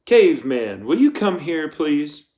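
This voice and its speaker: A man voicing a menacing female camp warden in an audiobook, coldly polite and commanding, speaking softly and deliberately. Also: fairly high pitch, sing-song, pitched above the performer's own voice. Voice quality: soft. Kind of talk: audiobook character voice.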